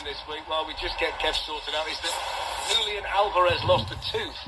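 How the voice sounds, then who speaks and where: A man's voice: on the high side, brisk. A male sports broadcaster talking football, heard over noisy radio reception through a small speaker. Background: loud static and background mush.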